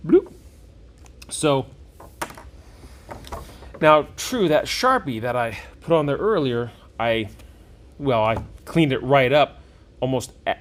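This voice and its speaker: A man giving a casual hands-on product review, enthusiastic and folksy, thinking out loud as he goes. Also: mid-range voice. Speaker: game shop owner and product reviewer. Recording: clearly noisy room recording.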